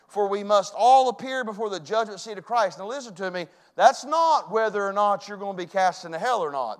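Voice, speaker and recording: A man preaching a sermon in church, swinging between loud calls and hushed, earnest asides. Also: high voice. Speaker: pastor.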